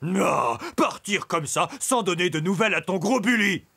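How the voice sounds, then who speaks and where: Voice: medium pitch, animated, normal speed, vocal fry. An actor voicing a big clumsy animal bully in a French children's cartoon, exclaiming, whining and pleading. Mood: boisterous, missing friend.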